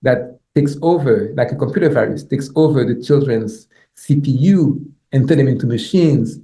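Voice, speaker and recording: A middle-aged man with a Haitian accent, a native Haitian Creole speaker, gives an academic lecture in a halting way, repeating words and checking in with "right?". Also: mid-range voice, warm tone. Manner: halting, repeats words, tags points with "you know" and "right?". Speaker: professor, guest lecturer. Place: indoor lecture room. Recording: echoey room sound.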